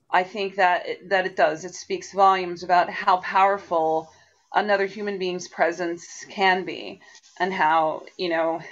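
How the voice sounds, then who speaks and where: A woman speaking reflectively on a video call, halting and earnest in the way she talks. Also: lowish voice, a bit bright. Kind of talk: reflective panel talk. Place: indoor room on a video call. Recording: dull lo-fi video call audio.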